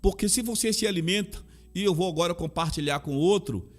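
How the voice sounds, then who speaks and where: A man's voice: high-pitched, a little fast, soft background music. Bahian man, chaplain guest, emphatic and sincere, repeats phrases. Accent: Bahian Brazilian Portuguese. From Salvador, Bahia, Brazil. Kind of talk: earnest conversational testimony.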